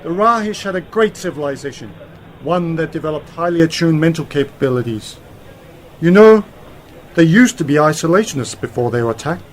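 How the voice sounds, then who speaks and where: A man's voice: on the high side, animated, a little fast, natural voice. A man acting as a brilliant, confident space-travelling scientist uncle in a radio drama, explaining things in an assured, theatrical way. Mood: explanatory. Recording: noticeable background noise.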